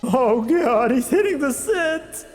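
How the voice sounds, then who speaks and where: Animated man reviewing games over music. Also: very high voice, slow pace. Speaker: video game reviewer. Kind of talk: scripted narration, joking game review. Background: background music.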